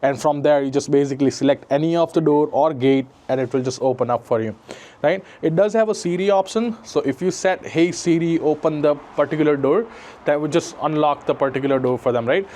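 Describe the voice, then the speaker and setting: A man's voice: fairly high pitch, fairly quick, dark tone. A man giving a casual, explanatory walkthrough demonstration as an installer, often checking in with a short tag question. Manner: explanatory, often tags sentences with "right?". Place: on location around a residential building, lobby to parkade.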